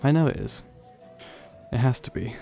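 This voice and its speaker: A man's voice: medium pitch, moderate pace, creaky, warm tone, faint music behind, natural voice. A man playing an awkward, wistful young old friend in an audio roleplay, talking hesitantly and rambling in a self-deprecating way. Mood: sheepish, resolute. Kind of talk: audio roleplay, conversational acting addressed to listener.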